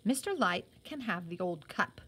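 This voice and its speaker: A woman's voice: lowish voice, big pitch swings, moderate pace, sharp tone, breathy edge, voice pushed down, exaggerated voice. A woman voicing a solemn, resolute orphaned teenage boy in a storybook, speaking in an earnest, declarative way.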